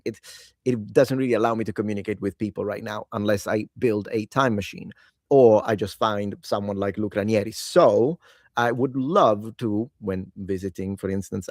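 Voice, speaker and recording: Enthusiastic, rambling man, low voice. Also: no echo, clean studio sound. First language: Italian. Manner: enthusiastic, rambling, self-correcting. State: tired, late at night. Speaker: language-learning video host. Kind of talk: casual solo talk to camera.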